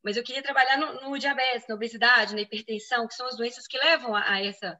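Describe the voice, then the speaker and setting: A woman in her thirties speaking Portuguese with a Brazilian accent from Minas Gerais. Her voice sits a bit high and sounds bright and forward. She talks in a lively, chatty way and keeps adding "né?" as she tells her story. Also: quick pace. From Venezuela, raised in Brazil. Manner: chatty, lively, frequent "né?" tags. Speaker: physician guest. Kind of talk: casual interview answers.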